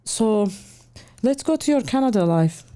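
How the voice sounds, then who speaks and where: A woman hosts an interview in a mellow, warm-toned voice. She asks her questions gently and encouragingly, often pausing and restarting her phrasing. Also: a little high, animated. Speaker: interview host.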